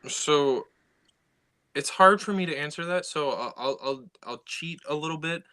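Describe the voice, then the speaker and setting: Young male writer, bright tone, casual rambling talk full of fillers. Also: fairly high pitch, unhurried, smooth. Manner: rambling, many fillers and restarts. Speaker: sci-fi writer. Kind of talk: casual conversation.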